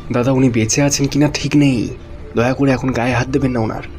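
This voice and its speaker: An actor playing a nervous male bystander in an audio drama, polite and excitable. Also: mid-range voice, quick pace, soft background music, close to an everyday voice. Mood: urgent warning. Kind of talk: Bengali audio drama acting.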